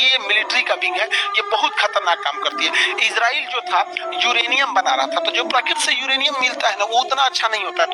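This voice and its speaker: A man from India with a Bihari accent, giving an animated, colloquial classroom lecture as a teacher, emphatic and fond of repetition and rhetorical questions. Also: very high voice, noisy background.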